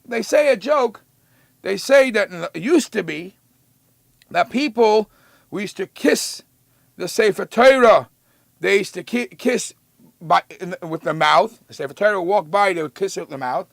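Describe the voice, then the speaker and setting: A man teaches like a rabbi. His voice sits high and has a strong gravelly creak, captured close and dry. He explains scripture emphatically, drawing out quoted verses before talking them through conversationally. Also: expressive, normal speed, no room sound. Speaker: rabbi giving a Torah lesson.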